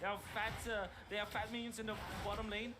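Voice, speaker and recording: A man's voice: high-pitched, little pitch movement, fast. A man co-commentating an esports match casually, thinking aloud and correcting himself. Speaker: esports caster. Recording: broadcast audio with background noise.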